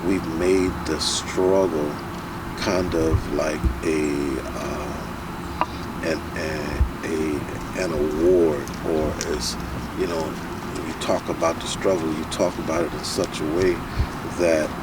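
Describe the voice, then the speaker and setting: A man's voice: rumbling low, steady tone, takes it slowly. A man from the United States, a security professional, speaking emphatically and repeating points for stress as an interview guest. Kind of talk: casual conversational interview. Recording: distant mic with loud street and car noise.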